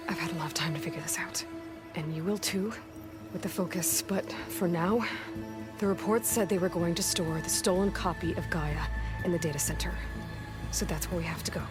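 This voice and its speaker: Female actor for burdened young game heroine: low-pitched, earnest, halting short phrases, music underneath. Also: natural voice. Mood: resolute. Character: determined, guarded young woman adventurer, burdened and earnest. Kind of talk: naturalistic video game voice acting. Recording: game dialogue over background music.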